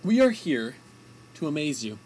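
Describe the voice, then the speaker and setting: Animated young co-host, mock-professional showman. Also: medium pitch, moderate pace, slight background noise, rough recording. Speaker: radio show co-host. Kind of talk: amateur comedy radio banter.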